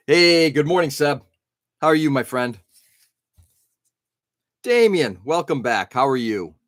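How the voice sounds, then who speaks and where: A man's voice: on the high side, animated, slow pace, pauses often, a bit bright, no room sound, clean studio sound. A middle-aged man hosting an early-morning live stream, rambling casually and answering viewers' chat comments.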